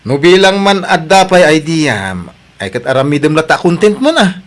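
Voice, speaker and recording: A man's voice: on the high side, sing-song, moderate pace. A man with an Ilocano Filipino accent playing an arrogant, newly rich vlogger who talks brashly and dismissively in an audio drama.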